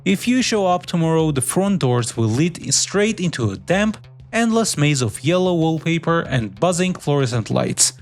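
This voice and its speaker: A man's voice: on the high side, big pitch swings, moderate pace, faint music behind. A man hosting horror reactions, mixing scripted narration with casual commentary addressed straight to viewers. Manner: informal, addresses viewers directly.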